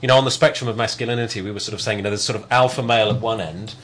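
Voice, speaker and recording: A man's voice: on the low side, fairly even intonation, racing, a bit bright, slight background noise, poor audio. A man hosting an interview, asking long, searching questions and circling back as he talks. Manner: rambling, searching questions with many restarts. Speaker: interviewer host. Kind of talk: casual interview chat.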